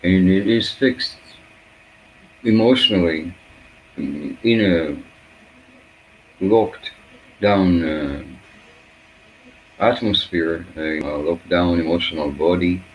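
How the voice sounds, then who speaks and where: Deep, slow-spoken man, halting. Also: a little hiss or hum, poor audio. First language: Hebrew. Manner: halting, fragmented phrasing with long searching pauses. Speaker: spiritual speaker. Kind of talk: unscripted reflective monologue.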